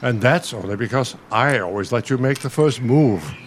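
A man's voice: medium pitch, lively intonation, normal speed, a little hiss or hum, lightly acted. A man playing an irritable elderly bookish husband in a radio play, testy, condescending and sardonic. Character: irritable elderly bookish husband, pompous retired college dean.

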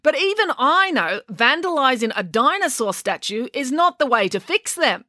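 Bossy young girl for an audiobook, played by a woman: defiant, high, swooping, argumentative. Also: sing-song, moderate pace, bright, forceful tone, no echo, studio quality, heavily acted. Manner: demanding, argumentative.

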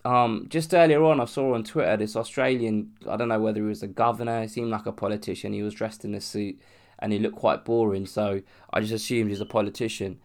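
A man's voice: on the low side, steady tone, a little fast, a little mellow. A young man from London with a cold and a sore throat, hosting a solo podcast in a rambling, casual way, restarting and swearing as he talks. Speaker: podcast host.

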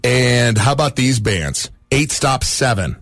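A man's voice: mid-range voice, lively intonation, a little slow, fairly bright tone, dry recording, studio quality. Rambling man reminiscing on radio. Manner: rambling, reminiscing, lots of fillers. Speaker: radio station staffer. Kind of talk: casual storytelling on air. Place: radio studio.

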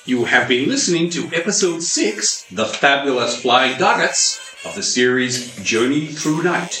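A man's voice: mid-range voice, expressive, unhurried, pushed and bright, soft background music. Man narrating an amateur radio play. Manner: storytelling, announcing. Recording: echoey room.

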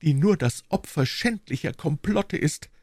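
A man's voice: on the high side, animated, unhurried, a little mellow, no echo, clean studio sound, pitched above the performer's own voice. A man voicing a devoted, brave young woman servant with an earnest, pleading and reassuring manner in a storybook reading. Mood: earnest, confident.

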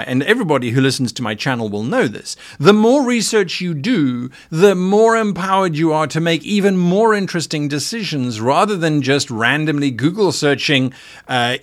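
A man with a somewhat high voice that rises and falls a lot, hosting a casual livestream. He talks in an earnest, thoughtful way, pausing and restarting as he works through his points. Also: a bit bright. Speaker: podcast host and game master.

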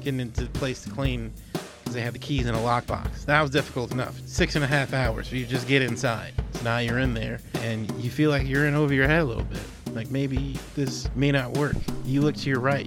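Man, mid-range voice, casual comic storyteller, matter-of-fact podcast panelist, music behind. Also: mid-range voice. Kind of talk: improvised comic storytelling in second person.

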